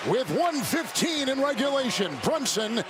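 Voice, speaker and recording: A man's voice: very high-pitched, very animated, measured pace. A man doing live basketball play-by-play commentary in clipped, dramatic phrases. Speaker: play-by-play announcer. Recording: loud arena crowd noise. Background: roaring basketball arena crowd.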